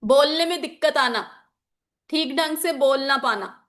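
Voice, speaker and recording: A woman's voice: high-pitched, measured pace, a bit bright. A woman gives an informal lesson. Her throat is a little sore. She explains point by point, repeating key phrases for her students and dropping English terms into her sentences. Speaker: teacher of an online class on chakras and magical oils. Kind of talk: informal spoken lesson with examples.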